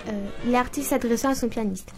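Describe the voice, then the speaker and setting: High-voiced female radio presenter, halting. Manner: halting reading with stumbles. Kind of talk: scripted programme introduction. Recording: loud music bed behind voice.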